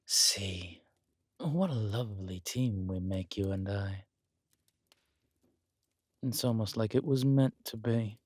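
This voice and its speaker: A man playing a scheming, aristocratic villain husband in an intimate roleplay audio drama, suave and deliberate, slipping into breathy near-whispers. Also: fairly low pitch, darkish tone, no echo. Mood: tender, seductive. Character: smooth, scheming villain husband, usurper of a kingdom.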